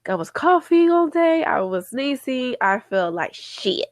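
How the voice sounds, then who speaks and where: A woman co-hosting a casual podcast who is sick with a cold, sounding nasal and congested, chatty and quick to laugh. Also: high voice, sing-song, no room sound, studio quality. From Latin America. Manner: chatty, laughs often, code-switches into Spanish. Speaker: podcast co-host.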